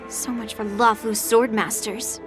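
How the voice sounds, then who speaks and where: A woman's voice: a little high, flat delivery, a little slow, close to an everyday voice. A woman voicing a brash, hot-tempered teenage swordswoman in a video game, teasing and taunting. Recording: music under the voice.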